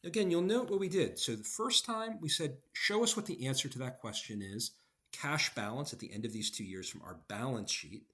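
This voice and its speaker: A man giving an explanatory software demo in an emphatic, conversational way. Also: mid-range voice, expressive, moderate pace. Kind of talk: explanatory product demo, semi-scripted talk.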